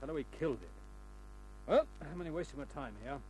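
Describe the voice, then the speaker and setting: An actor playing a brusque, impatient police sergeant in an old-time radio crime drama. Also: male voice, fairly high pitch, fast, darkish tone, close to an everyday voice, rough recording. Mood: curt, impatient. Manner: curt, impatient. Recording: old radio recording with music behind.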